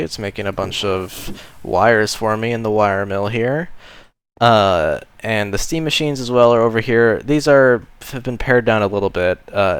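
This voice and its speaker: A man with a lowish, clean and resonant voice talks his way through a video game tour. He is laid-back and meandering, filling pauses with ums and uhs. The sound is dry and close, like a desk mic at home. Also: clean tone, no room sound. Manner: relaxed and rambling, many ums and uhs. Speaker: modded game player giving a base tour. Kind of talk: casual narrated gameplay tour.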